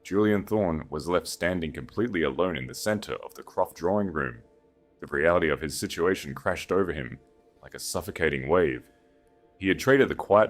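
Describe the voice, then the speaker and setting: A man reading a dramatic scripted romance as an audiobook narrator. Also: extremely low pitch.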